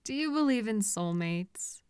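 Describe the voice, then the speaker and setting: A woman playing an obsessive, possessive kidnapper in an intimate roleplay audio drama, coaxing and patient with a condescending edge and hesitant pauses. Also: mid-range voice, expressive, a little mellow, smooth, no room sound, studio quality, close to an everyday voice. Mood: wistful, earnest. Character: obsessive, possessive female kidnapper, soothing and delusional.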